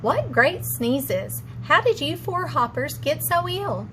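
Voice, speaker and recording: A woman's voice: sits high, very animated, slow, pushed and bright, a little hiss or hum, voice pushed up, heavily acted. A woman playing a kindly old grandfather grizzly bear in a children's storybook. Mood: concerned, kindly.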